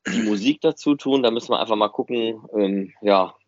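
A man's voice: fairly low pitch, fairly quick, a little mellow, no room sound. A man chatting casually and unscripted as host of a fan podcast. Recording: muffled, dry, band-limited audio.

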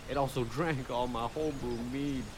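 A man's voice: medium pitch, fairly even intonation, moderate pace, noisy background, natural voice. A man playing a folksy, indignant small-town radio caller in an audio drama, leaning on his words.

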